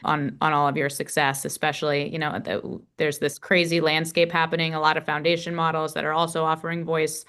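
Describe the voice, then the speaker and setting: A woman with a slightly low voice hosts an interview on a video call. She speaks in an upbeat way and asks long, winding questions. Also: flat delivery, a little fast, few pauses, a bit bright, clear, resonant tone. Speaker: host, venture investor. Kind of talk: interview host.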